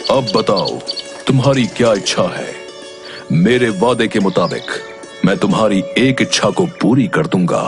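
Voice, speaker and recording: A benevolent cartoon angel voiced by a man who grants wishes. He speaks calmly and reassuringly in a slightly low voice over clear music. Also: a little slow.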